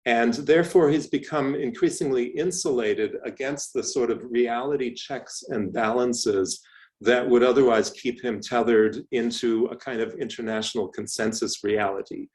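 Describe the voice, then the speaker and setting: A middle-aged man from Canada giving a lecture presentation, speaking in a thoughtful, measured way with frequent restarts and fillers. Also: medium pitch, darkish tone. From Canada (Toronto). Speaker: professor giving a talk.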